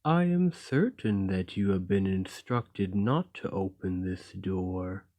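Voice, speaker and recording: A man voicing a gentle, lonely golem in an ASMR roleplay, speaking in a formal, measured and courteous way. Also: deep voice, animated. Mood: measured, coaxing.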